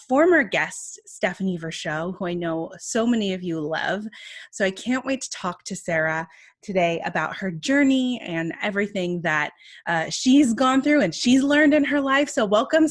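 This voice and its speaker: Woman, podcast host, upbeat, enthusiastic, mid-pitched voice. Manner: upbeat and enthusiastic, laughs often. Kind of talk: podcast interview hosting.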